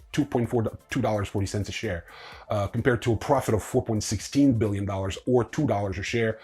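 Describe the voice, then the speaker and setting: A man with a lowish, husky voice hosts a crypto news roundup. He reads headlines with lots of "uh" fillers and breaks off for dry, sarcastic remarks. The tone stays low-key and conversational. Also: darkish tone. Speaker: news host.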